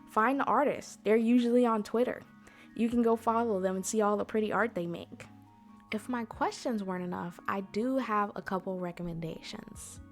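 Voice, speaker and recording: Woman presenting informally over soft music. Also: mid-range voice. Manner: chatty, conversational, direct address to listeners. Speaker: convention panel host. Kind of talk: informal presentation, explainer talk.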